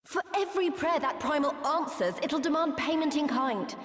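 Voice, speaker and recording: Woman as very high-voiced fervent young warrior. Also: normal speed, close to an everyday voice. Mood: urgent, accusing. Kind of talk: dramatic video game voice acting. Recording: echoing hall reverb.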